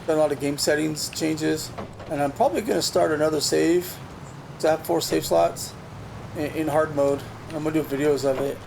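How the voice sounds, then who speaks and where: A man's voice: a little high, gravelly. A man hosting a gaming video, chatting casually over his play on a desk USB mic and thinking aloud with restarts. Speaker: gaming channel host. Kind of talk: casual gameplay commentary. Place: home computer setup. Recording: noisy game sound behind voice. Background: truck game sounds.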